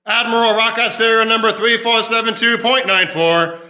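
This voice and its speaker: Shrill, frantic alien robot admiral. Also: male voice, level tone, normal speed, bright, forceful tone, exaggerated voice. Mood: alarmed. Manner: barking, repetitive, bombastic commands. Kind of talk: live old-time radio sketch acting. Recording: roomy live stage sound.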